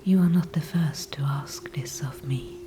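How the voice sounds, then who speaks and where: A woman voicing an ancient, calm and wise female tree spirit in an ASMR audio roleplay, speaking only in a soft whisper with formal, old-fashioned phrasing. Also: low voice, unhurried. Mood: calm, knowing. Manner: soft unvoiced whisper throughout.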